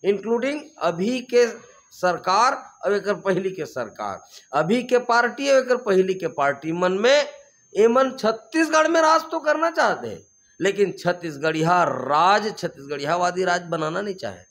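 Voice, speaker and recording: A man with a Chhattisgarhi accent speaks with fervent conviction, his voice sitting a little high for a man. He stacks phrase on phrase in rhetorical chains and pushes up hard on the words he wants to land. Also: big pitch swings, moderate pace, fairly bright tone. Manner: fervent, repetitive rhetorical build-ups, rising emphatically on key points. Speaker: activist leader being interviewed. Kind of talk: impassioned political interview answers.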